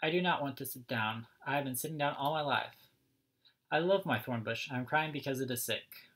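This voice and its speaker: A man's voice: mid-range voice, a little fast, a bit bright, natural voice. A man voicing a tearful old lady mouse in a children's storybook, plaintive and emphatic. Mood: insistent. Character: tearful old lady mouse who loves her plant.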